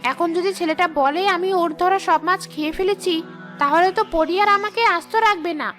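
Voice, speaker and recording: Sly female tree ghost, played by a woman, extremely high voice, grumbling cartoon schemer, music behind. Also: brisk, fairly bright tone. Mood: worried. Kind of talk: cartoon character acting.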